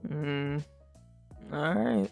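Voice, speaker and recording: Woman playing a low, gravelly too-cool teenage boy. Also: very slow, soft background music, exaggerated voice. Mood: deadpan, mildly sarcastic. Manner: deadpan, drawling slang. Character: laid-back, too-cool teenage boy, sarcastic and slangy. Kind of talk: fan dub voice acting.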